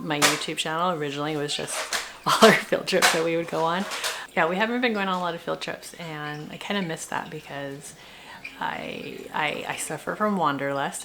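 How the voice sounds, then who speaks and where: A middle-aged woman chats casually and reflectively for a vlog, halting as she thinks aloud in an informal way. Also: sits low, vocal fry, a bit bright, slight background noise. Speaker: homeschooling parent and video blogger.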